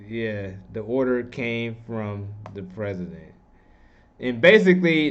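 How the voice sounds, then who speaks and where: A man giving casual, opinionated political commentary in a rambling, slangy, informal way. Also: medium pitch. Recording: dull, muffled lo-fi audio.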